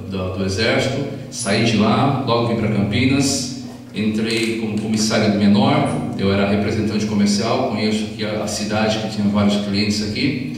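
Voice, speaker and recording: A middle-aged man, giving an earnest, informal public speech with emphatic repetition. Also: lowish voice. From São Paulo state, Brazil. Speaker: private detective, guest speaker. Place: small council chamber, indoors at a lectern. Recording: echoey hall, distant mic, humming noise.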